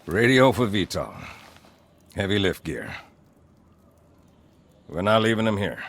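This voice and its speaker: Gravelly, lively marine sergeant. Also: male voice, on the low side, measured pace, exaggerated voice. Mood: brisk, commanding. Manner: wry, commanding. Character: gruff, wisecracking male marine sergeant major, tough veteran.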